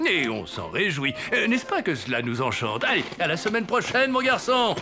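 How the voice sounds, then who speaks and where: A blustery, high-pitched old Santa Claus. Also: male voice, huge pitch swings, normal speed. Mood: cheerfully brisk. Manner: blustery, theatrical, self-assured. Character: jolly, stubborn, absent-minded old Santa Claus. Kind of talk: animated cartoon voice acting in French. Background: background music.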